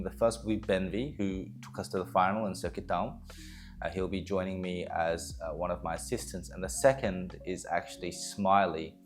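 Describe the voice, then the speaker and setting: Low-voiced man, music behind. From Australia. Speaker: esports coach. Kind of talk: prepared team announcement to fans.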